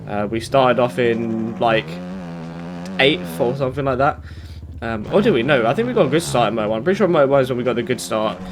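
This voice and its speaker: A British man talks casually over his own gameplay, his voice stuffy and blocked from hay fever. He rambles along with frequent ums and corrections, at an ordinary male pitch. Loud motorbike engine noise from the game runs underneath. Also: expressive, a little fast. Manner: rambling, many fillers and self-corrections. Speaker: gaming YouTuber. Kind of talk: casual commentary while playing. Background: motorbike game engine sounds.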